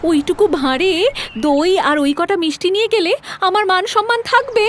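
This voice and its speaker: A woman's voice: extremely high pitch, lively intonation, fairly quick, background noise. A woman playing a vain, nagging young wife in a comic cartoon, with a dramatic scolding manner. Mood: outraged. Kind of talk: exaggerated comic cartoon acting. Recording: music behind the voice.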